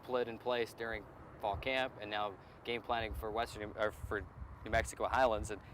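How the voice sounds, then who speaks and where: A man's voice: lowish voice, level tone, normal speed, a bit bright, slight background noise. A man hosting a sports show and conducting a conversational interview as a play-by-play announcer.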